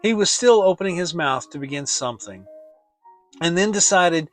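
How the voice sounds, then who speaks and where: A man giving an expressive, deliberate dramatic narration of a children's audiobook. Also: on the high side, soft background music, poor audio. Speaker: storyteller and channel host.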